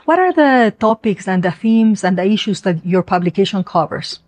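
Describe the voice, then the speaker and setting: A woman's voice: mid-range voice, lively intonation, moderate pace, a little mellow, no room sound. A woman from Ecuador interviewing a student on the radio, asking long, winding questions.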